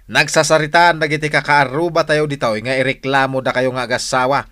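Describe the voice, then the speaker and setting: An actor playing a stubborn, blunt village customer in an Ilocano radio comedy-drama. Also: male voice, mid-range voice, level tone, a little fast, pushed and bright, lightly acted. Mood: accusing. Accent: Filipino, Ilocano.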